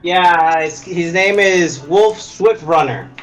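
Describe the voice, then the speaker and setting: A man's voice: on the high side, slow, soft background music, low-quality recording. A man chatting as a tabletop player in a joking, offhand way. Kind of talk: casual chat at a tabletop game.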